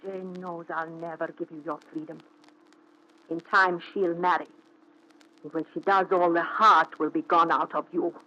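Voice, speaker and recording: A woman's voice: fairly low pitch, steady tone, normal speed, sharp tone. A woman playing a jealous, possessive, menacing wife in an intense, theatrical 1940s radio thriller style. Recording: dull vintage 1940s radio recording.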